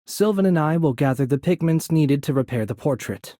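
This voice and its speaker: A man playing a courteous, composed young noble mage in a video game, soft-spoken and slightly breathy, with polite, measured, formal phrasing. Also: on the high side, animated, dry recording, studio quality, lightly acted. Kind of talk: video game character voice acting.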